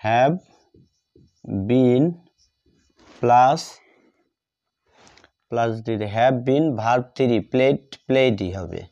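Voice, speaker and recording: A man with a Bengali accent gives a grammar lesson in a soft, mellow voice. He dictates extremely slowly, repeating each phrase in small pieces. The sound is dull and lo-fi. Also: mid-range voice. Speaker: English grammar teacher.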